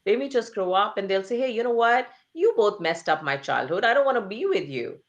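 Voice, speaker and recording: A woman's voice: mid-range voice, animated, brisk, dull audio. A woman author speaking earnestly in an interview, stressing points with repetition and rhetorical questions. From Asia. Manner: repeats phrases for emphasis. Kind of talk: conversational interview.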